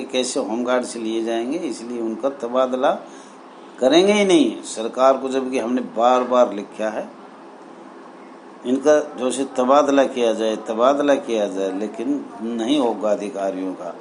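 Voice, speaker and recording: A man with a medium-pitched voice speaks as an association leader to members. He reads from documents and comments on them, breaking his sentences into short, deliberate phrases. Faint noise sits behind his voice. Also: poor audio. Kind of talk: advocacy video address, reading out documents and commenting. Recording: steady background noise.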